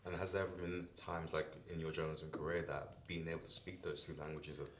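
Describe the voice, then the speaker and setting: A man hosting a podcast interview in a relaxed, casual way, often saying "like" and "yeah". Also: very deep voice, fast talker, echoey room, a little hiss or hum. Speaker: podcast host.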